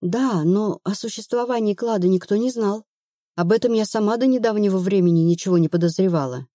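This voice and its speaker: A woman's voice: medium pitch, brisk, mellow, no echo, clean studio sound, lightly acted. A woman narrator playing an anxious, stubborn woman of thirty-one in an audiobook, plaintive and earnest. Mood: doubtful.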